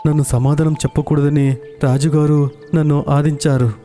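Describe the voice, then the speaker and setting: A man's voice: on the high side, level tone, moderate pace, dark tone, natural voice. An actor playing an earnest man in a children's cartoon fairy tale. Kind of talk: children's cartoon story dialogue. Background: background music.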